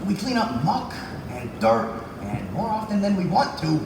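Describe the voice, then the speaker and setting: A performer playing a jaded, world-weary custodian, deadpan and drawn-out, in a comic stage piece. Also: mid-range voice. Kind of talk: comic stage readers theatre acting. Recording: echoey room from far off, loud noise.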